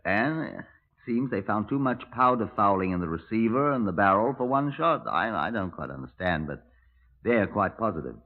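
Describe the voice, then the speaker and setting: British police superintendent: lowish, very expressive, wry clipped banter, narrow vintage radio-drama sound. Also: male voice, normal speed. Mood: brisk. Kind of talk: 1950s radio drama acting. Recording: tinny, narrow-band vintage radio recording.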